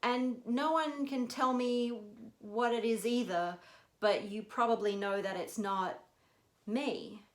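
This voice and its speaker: A woman from Australia with a softened Australian accent, performing a lyrical, reflective personal essay at a live reading. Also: on the high side, takes it slowly. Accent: Australian, softened by years in America. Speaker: storyteller. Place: bookshop café event room. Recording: echoey room.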